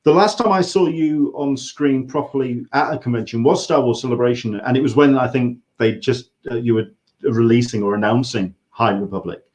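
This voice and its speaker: Male host, English accent, mid-range voice, casual conversational interviewing with a halting, think-aloud delivery. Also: mid-range voice, darkish tone. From England.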